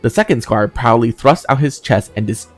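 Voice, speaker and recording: A man's voice: mid-range voice, expressive, normal speed, faint music behind. A man hosting a game playthrough, casually commenting and reading the story text aloud. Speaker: gaming video host.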